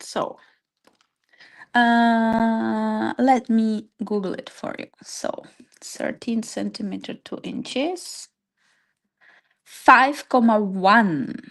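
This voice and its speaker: A woman's voice: fairly high pitch, a bit bright. A woman with a Czech accent leading an informal live craft tutorial step by step, hesitantly searching for her English words. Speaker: craft workshop instructor.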